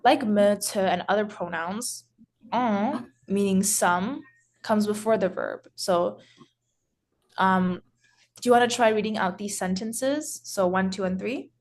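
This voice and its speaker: A woman walks a student through French grammar at an unhurried pace, stopping often to restart her sentences. A faint hiss sits under her voice. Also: medium pitch. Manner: hesitant, frequent restarts and "so". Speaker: French tutor. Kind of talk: explaining French grammar with examples.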